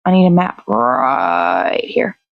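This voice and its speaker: A woman's voice: rumbling low, sing-song, slow pace, vocal fry, no room sound, highs cut off. A woman hosting a morning show in casual chat, talking in a blunt, sweary, rambling way.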